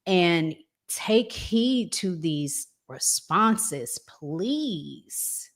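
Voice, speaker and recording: A woman's voice: fairly low pitch, animated, very slow. A woman with an American accent hosting a casual relationship talk show in a conversational way.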